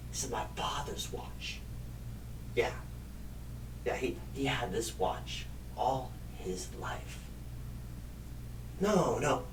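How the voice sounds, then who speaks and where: A man playing a clueless, flustered job recruiter in an ASMR comedy roleplay, stammering and repeating himself in a husky, halting voice. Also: mid-range voice, a little hiss or hum, low-quality recording. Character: flustered, clueless, absent-minded male job recruiter, apologetic and rambling. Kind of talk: ASMR comedy roleplay, celebrity-style impression.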